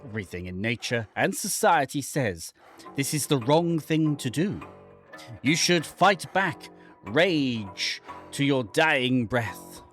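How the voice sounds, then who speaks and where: Guy voicing a brooding anguished wilderness loner in a horror game, dramatic deliberate reading, music behind. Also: medium pitch, big pitch swings, fairly bright tone. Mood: grave. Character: anguished guilt-ridden man fleeing into the wilderness, brooding.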